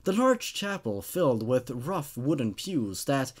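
A man's voice: mid-range voice, sing-song, measured pace. Male host, twenties, mid-range voice, for horror story readings and off-the-cuff reviews. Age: 20s. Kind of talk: dramatic story reading and casual commentary.

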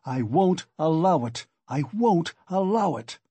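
A man's voice: a little high, expressive, a little slow, dark tone, slightly rough, dry recording, pitched above the performer's own voice. A man voicing a proud, agitated male suspect in an audiobook, speaking distinctly with defiant indignation. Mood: furious. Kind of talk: audiobook character acting.

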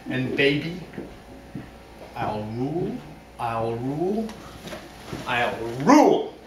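Very slow, theatrical man, recorded from afar. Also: mid-range voice, big pitch swings. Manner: theatrical, deliberate, with long pauses. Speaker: talent show performer. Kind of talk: mock-serious dramatic reading for an audience. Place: indoors, living room. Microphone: far camera. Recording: distant camera, roomy echo, noisy background.

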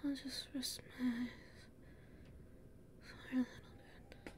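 A woman acting a weary, anxious human exploring a castle in an audio roleplay, breathy and hesitant, muttering to herself. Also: sits high, level tone, a little slow, a little hiss or hum. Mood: exhausted, fading.

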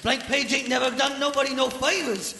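A man playing a gruff, pugnacious old-school cornerman, urging in streetwise slang in a comic radio play. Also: very high voice, fairly even intonation, a little fast, sharp tone, exaggerated voice. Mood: gruff goading. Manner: streetwise slangy urging, tough-guy grammar. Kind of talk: comic radio theater acting. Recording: live theater stage, reverberant, faint noise.

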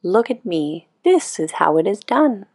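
A woman's voice: medium pitch, big pitch swings, measured pace, a little mellow, husky, dry recording, clean studio sound, heavily acted. A woman voicing a wise old click beetle, a patient and encouraging teacher in a storybook.

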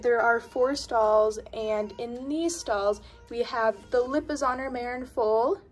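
A young woman casually narrating a tour of her toy horse stable while filming it on her phone, with frequent ums. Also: on the high side. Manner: chatty, with frequent ums and trailing off. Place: bedroom with a toy stable on a dresser. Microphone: phone camera held by the speaker, off screen.

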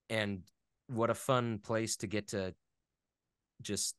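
A man speaking as an interview guest in casual chat, thoughtful and often halting as he searches for words. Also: on the low side, a bit bright, no echo, studio quality. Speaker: interview guest, video game voice actor.

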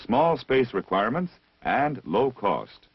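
A man reading scripted technical instruction as the narrator of a vintage military training film, measured and deliberate, on a dull old film soundtrack. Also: medium pitch, big pitch swings, breathy edge, dry recording. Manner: measured, didactic, deliberate enunciation.

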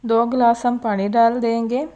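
Woman talking thru a recipe, mellow voice, pretty flat, kinda high. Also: moderate pace, highs cut off. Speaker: home cooking channel host. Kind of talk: step-by-step recipe voice-over.

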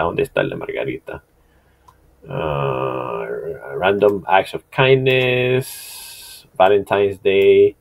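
A middle-aged man with a deep, low voice talks through an online tutorial from a home desk. His delivery is loose and conversational, full of fillers and self-corrections. It sounds like an unscripted screen-share lesson. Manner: loose, chatty, many fillers and restarts. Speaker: marketing instructor.

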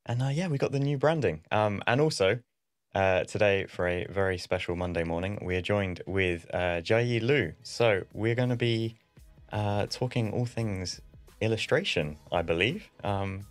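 A man in his thirties hosting a casual livestream over a video call from a home room, halting with many ums. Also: fairly low pitch, dry recording, faint music behind. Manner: hesitant, many ums and uhs. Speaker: livestream host. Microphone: studio mic on arm, video call.

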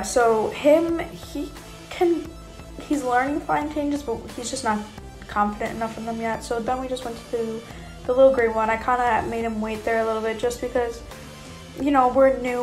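A young woman giving a chatty, informal vlog voiceover as a horse rider. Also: a little high. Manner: chatty, informal, hedging with kinda and you know. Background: background music.

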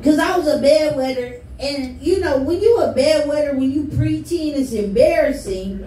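A woman's voice: high voice, moderate pace, mellow. A woman doing a profane, crowd-roasting stand-up set at an open mic in a small barbershop venue, heard from the back of the room. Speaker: stand-up comic. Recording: roomy, from far off, crowd behind.

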